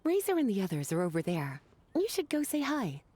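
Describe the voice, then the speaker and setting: A woman playing a poised, languid, caring woman in a video game, speaking in an unhurried, composed and soothing way. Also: medium pitch, wildly swooping pitch, warm tone, a little hiss or hum. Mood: gentle.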